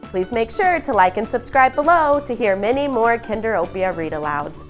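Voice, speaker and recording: A woman narrating a children's bedtime story aloud in an expressive, deliberate storytelling manner. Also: fairly high pitch, faint music behind.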